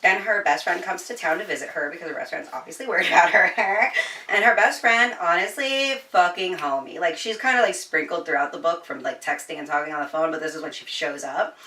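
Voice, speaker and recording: A woman chatting informally through a book recap, slangy and sweary, telling it like an animated storyteller. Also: on the low side, a little fast, distant mic. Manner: animated storyteller, voicing quoted dialogue. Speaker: book reviewer. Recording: echoey room, somewhat off the mic.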